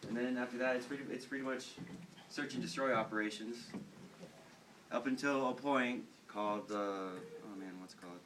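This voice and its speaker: A man's voice: medium pitch, steady tone, normal speed, fairly bright tone. Young American man, distant noisy recording. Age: teens to young adult. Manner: slangy, irreverent. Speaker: student cadet telling history stories. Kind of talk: casual, joking history storytelling. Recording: noisy room, distant microphone.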